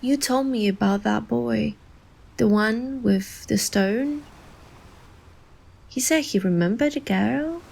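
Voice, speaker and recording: Actress doing a thoughtful playful young woman, slow n husky, intimate bedtime drama, soft guitar behind. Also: on the high side, heavily acted. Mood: wondering, tentative.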